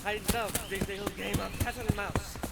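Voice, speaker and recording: A man's voice: sits high, little pitch movement, moderate pace, creaky, heavy background noise. A man playing a sinister gang boss in a fantasy ASMR roleplay, commanding, mock-polite and gloating. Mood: furious, gloating. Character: sinister male hunter and gang boss, menacing, taunting.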